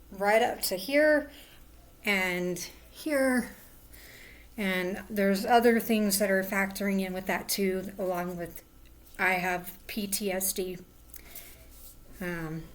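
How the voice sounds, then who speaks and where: A woman with a hoarse voice, rambling casually as she hosts a crafting livestream from home, sometimes drifting into soft murmurs. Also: mid-range voice. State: hoarse, lost voice. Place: at home indoors.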